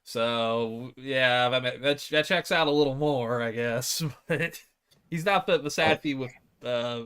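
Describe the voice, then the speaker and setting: A man's voice: mid-range voice, unhurried, fairly bright tone, clear, resonant tone. A man co-hosting a podcast, chatting informally and with some swearing about films and games. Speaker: podcast co-host. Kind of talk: casual group chat about films and games.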